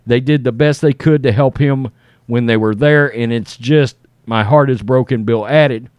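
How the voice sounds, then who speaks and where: A man's voice: medium pitch, moderate pace, a little mellow, smooth, no echo. A middle-aged American man hosting an online show, reading a news article aloud and adding casual off-the-cuff commentary.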